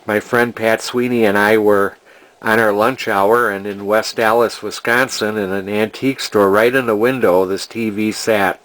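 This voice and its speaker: A man's voice: on the low side, fairly even intonation, moderate pace, a bit bright, rough recording. An older man speaks. He sounds like a hobbyist explaining his restoration work off the cuff. His delivery is casual and rambling, with hesitations as he thinks aloud. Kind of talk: casual unscripted repair narration.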